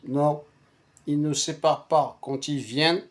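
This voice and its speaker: A man whose first language is Arabic, telling a personal story casually in a halting, repetitive and emphatic way. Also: fairly high pitch, steady tone. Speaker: storyteller recounting a personal anecdote to friends.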